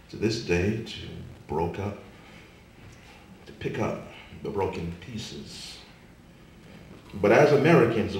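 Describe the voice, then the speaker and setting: A man performing spoken word poetry with a theatrical, emphatic delivery that swells and drops to a hush. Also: fairly low pitch. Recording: echoey room from far off, faint noise.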